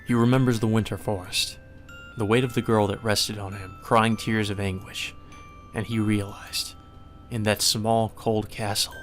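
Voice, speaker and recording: A man reading a visual novel aloud as dramatic, solemn and measured narration. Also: fairly low pitch, flat delivery. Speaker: narrator, let's play reader. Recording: music behind the voice.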